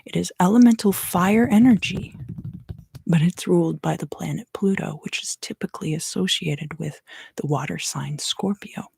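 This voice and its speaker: A woman giving an ASMR tarot reading, soft-spoken and often whispering, explaining things unhurriedly in short phrases, close to the microphone. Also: on the low side, moderate pace, creaky, breathy edge, no echo. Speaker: tarot reader and ASMR host.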